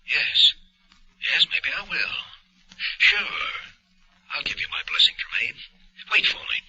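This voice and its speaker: An actor playing a furious, protective father in a 1940s radio melodrama, gruff and seething in a raspy, strained voice. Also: fairly high pitch, lively intonation, unhurried, bright, forceful tone, full character voice. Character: furious, protective father, male, hot-tempered. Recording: muffled 1940s radio broadcast recording.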